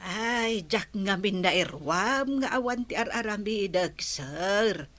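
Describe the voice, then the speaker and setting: A woman's voice: medium pitch, lively intonation, slow pace, a bit bright. A woman playing a hardworking, respectful older housemaid and grandmother in an audio drama, speaking deferentially. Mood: earnest, respectful.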